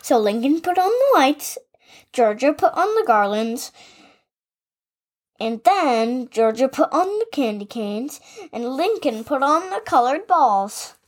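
High, animated female storyteller. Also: slow pace. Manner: animated, expressive storytelling with asides. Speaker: story reader, podcast host. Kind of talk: reading a children's picture book aloud.

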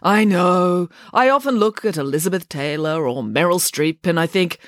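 A woman's voice: fairly low pitch, expressive, moderate pace, no room sound, clean studio sound, lightly acted. A woman voicing a bossy, proud, theatrical female pig nanny in a children's story, with an imperious, emphatic manner. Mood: haughty.

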